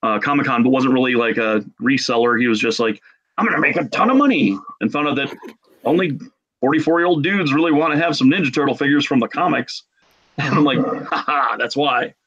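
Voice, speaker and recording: A man's voice: mid-range voice, brisk, a bit bright. Chatty man, slightly dull call audio. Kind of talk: casual chatty storytelling. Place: home room. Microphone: computer mic on a video call.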